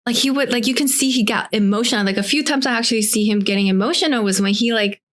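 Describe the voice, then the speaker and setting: A woman's voice: on the high side, fast. Reflective woman coach thinking aloud. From Taiwan. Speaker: life coach, guest. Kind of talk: casual podcast conversation.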